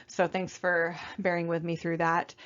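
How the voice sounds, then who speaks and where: A young woman with a slightly raspy voice while getting over an illness, giving a casual, conversational slideshow presentation with many fillers. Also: fairly low pitch, flat delivery, normal speed, fairly bright tone, lo-fi sound. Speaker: student presenter.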